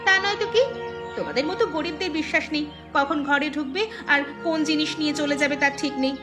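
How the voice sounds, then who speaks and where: A woman voicing an arrogant, snappish young bride from a rich household in an animated moral story. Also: sits high, fast, over music, lightly acted. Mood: haughty, dismissive. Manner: snappish, haughty.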